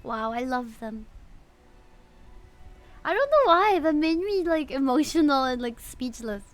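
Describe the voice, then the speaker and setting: A woman's voice: sits high, sing-song, a little slow. A woman livestreamer reacting casually to a game, with gushing, giggly fan enthusiasm. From Philippines. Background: game music.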